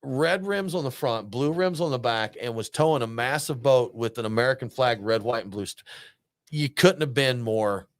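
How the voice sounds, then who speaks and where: A man's voice: mid-range voice, normal speed, dry recording. A man, co-hosting a beer show and bantering casually in a studio. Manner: joking, laid-back banter. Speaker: podcast co-host. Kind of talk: casual chat.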